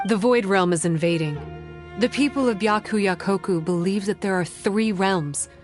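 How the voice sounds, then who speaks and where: A woman playing a solemn young shrine maiden in a video game, guarded and scholarly, explaining in measured, formal phrases. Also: medium pitch, darkish tone, faint music behind, close to an everyday voice. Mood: grave explaining. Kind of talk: video game character acting.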